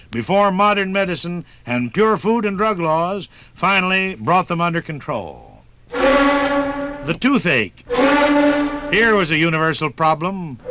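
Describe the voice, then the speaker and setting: High-pitched, animated American male radio narrator. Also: no room sound. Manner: folksy, dramatic storytelling. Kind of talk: 1950s radio documentary narration. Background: music.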